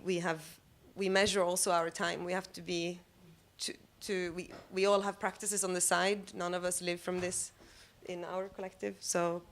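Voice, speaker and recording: Panelist, woman, lowish flat delivery, thinks aloud, self-deprecating. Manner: thoughtful, halting, self-deprecating. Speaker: panelist, member of a collective. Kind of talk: live panel discussion. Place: cafe of an arts venue.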